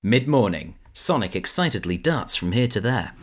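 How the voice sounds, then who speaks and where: A woman narrating an audio drama in a lively storytelling manner. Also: extremely low pitch, moderate pace, very noisy. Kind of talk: scripted narration. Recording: narration over loud music.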